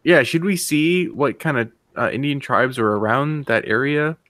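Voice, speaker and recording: A man's voice: medium pitch, moderate pace, a crowd in the background. A man chatting casually in a tentative, questioning way as he brainstorms with others. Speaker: stream participant. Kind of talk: casual chat.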